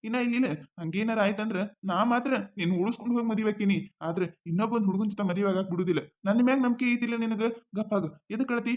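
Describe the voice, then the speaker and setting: A woman's voice: sits high, fairly even intonation, fast, muffled recording, natural voice. A woman with a North Karnataka Kannada accent acting as a young man reassuring his girlfriend. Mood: soothing. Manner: coaxing, reassuring. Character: young man boyfriend, devoted and insistent.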